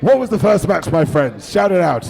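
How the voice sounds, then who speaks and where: A British man hosting a live wrestling review show, jokey and loud, hyping the audience, and a bit hoarse from losing his voice. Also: on the high side, big pitch swings, normal speed. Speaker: host. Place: large hotel event venue. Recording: in front of a noisy live crowd.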